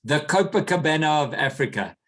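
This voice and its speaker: A man hosting a podcast who interviews his guests in an effusive, enthusiastic way with quick asides. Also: on the high side, expressive, a bit bright. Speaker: podcast host.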